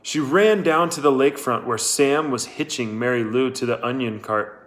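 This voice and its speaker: A man reading a story aloud as a scripted narration, measured and deliberate. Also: medium pitch, a bit bright. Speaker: audiobook reader.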